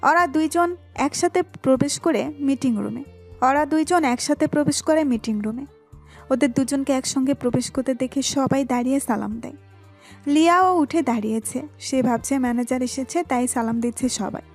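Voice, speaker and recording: A woman with a high voice narrates a romantic audio story. Her delivery is scripted storytelling, set over a light bed of music. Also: normal speed.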